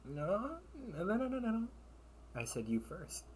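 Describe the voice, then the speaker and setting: A man performs a scheming older brother, an information broker in a sci-fi crime syndicate, for an audio roleplay. He is smooth and teasing, speaking slowly with theatrical, highly animated swings of pitch. Faint noise sits behind the amateur recording. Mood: sly, challenging. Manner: silky, teasing, unhurried, theatrical.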